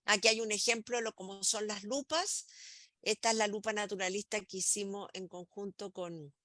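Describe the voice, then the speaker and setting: A woman's voice: medium pitch, steady tone, normal speed, bright, forceful tone, dry recording. A middle-aged woman, hosting an online talk for teachers and walking through slides with frequent "eh" fillers and restarts. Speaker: host presenting materials to teachers.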